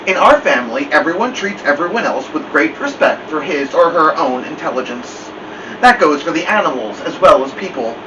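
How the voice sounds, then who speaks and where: Through a muffled, noisy, distant recording comes a dignified old dog telling a storybook tale, as read by a man. The voice is on the high side and moves in lively swings, wry and fond of digressing. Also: normal speed, lightly acted. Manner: wry, digressive, formal wording. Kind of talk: first-person storybook narration.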